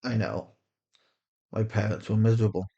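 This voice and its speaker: Someone voicing a gravelly, weary male interrogator. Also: mid-range voice, normal speed, warm tone, dull audio. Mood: weary, resigned. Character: weary, resigned male interrogator, quietly menacing. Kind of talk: acted audio fiction.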